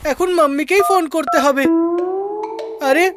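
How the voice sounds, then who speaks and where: A woman voicing an excitable, stubborn young boy in a cartoon, with an exaggerated, protesting delivery. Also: high voice, moderate pace, over loud music, natural voice. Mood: defensive. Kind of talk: animated cartoon dubbing.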